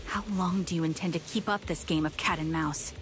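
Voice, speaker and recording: An actress playing a stern, focused young military sniper in an anime game, serious and clipped. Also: female voice, lowish voice, steady tone, a little fast, music in the background, close to an everyday voice. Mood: cold defiance.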